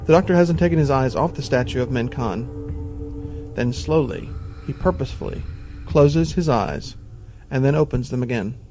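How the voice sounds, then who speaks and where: A male narrator with a medium-pitched voice tells an audio drama. He reads deliberately and with suspense, over clearly audible music. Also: a little mellow, rough recording. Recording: music bed behind the narration.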